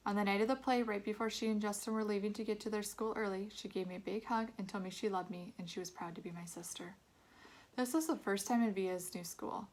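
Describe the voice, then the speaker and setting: A woman reading a children's novel aloud in an expressive storytelling manner, narrating in a child's first person. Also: medium pitch. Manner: expressive, acts out dialogue.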